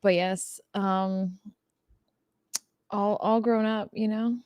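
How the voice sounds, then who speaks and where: A woman's voice: mid-range voice, fairly even intonation, slow pace, a little mellow, clear, resonant tone, no room sound. Wry, playful woman podcast co-host. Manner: wry, self-deprecating, playful asides. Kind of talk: casual chat. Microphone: video call setup.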